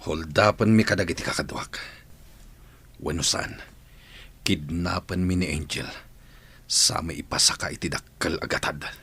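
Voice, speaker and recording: A man's voice: sits low, normal speed, creaky, pauses often, a bit bright, breathy edge, heavily acted. An actor playing a scheming male thug who plots a kidnapping, in a radio drama.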